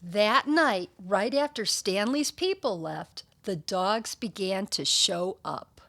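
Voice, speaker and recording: A woman reading a children's picture book aloud, with expressive, deliberate and emphatic storytelling delivery. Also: medium pitch, creaky.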